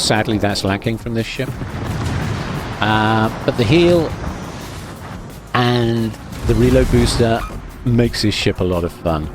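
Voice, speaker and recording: A man with a fairly low voice talks through a naval battle as he plays it. His commentary is loose, chatty and wry, and he often pokes fun at himself. Soft music runs underneath. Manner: chatty, wry and self-deprecating, thinks aloud while playing. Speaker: gaming video host. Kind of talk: live gameplay commentary.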